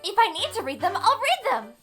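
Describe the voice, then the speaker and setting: Woman voicing a very high-pitched, bossy anime schoolgirl. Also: wildly swooping pitch, brisk, full character voice. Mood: excited, commanding. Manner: brash, commanding, peppy. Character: bossy, exuberant, headstrong high school club leader girl. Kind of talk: anime fan dub acting. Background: loud music.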